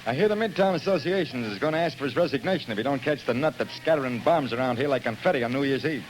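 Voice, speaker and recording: An actor playing a wisecracking street cop in an old radio drama, talking in a slangy, quick way. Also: male voice, mid-range voice, expressive, soft background music, natural voice, low-quality recording. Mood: gossipy.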